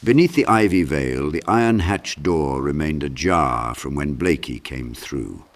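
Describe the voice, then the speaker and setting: A man narrating a dark fantasy audiobook in a measured, dramatic storytelling style. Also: lively intonation. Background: low ambient sound design.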